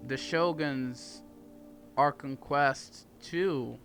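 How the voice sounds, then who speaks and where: A man's voice: medium pitch, very slow, a bit bright, faint music behind. A man giving casual, chatty playthrough commentary as a gaming host, with playful asides.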